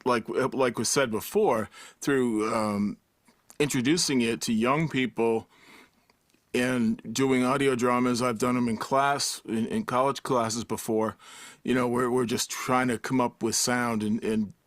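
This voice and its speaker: A man talking casually on a panel in halting, hesitant phrases as he gathers his thoughts. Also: mid-range voice, steady tone, no room sound, studio quality. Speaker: panelist, college teacher.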